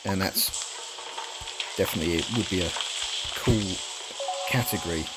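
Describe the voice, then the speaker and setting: A man's voice: sits low, normal speed, rough recording. A man casually commentating his speedrun, a little nasal from a cold, rambling with many ums and uhs. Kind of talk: casual live commentary over gameplay. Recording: game music playing behind the voice.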